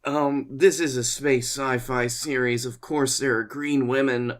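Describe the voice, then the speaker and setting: A male comedy podcast co-host with a medium-pitched voice. His talk is casual and wry, riffing jokily on ideas. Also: fairly even intonation, unhurried, fairly bright tone. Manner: wry, jokey.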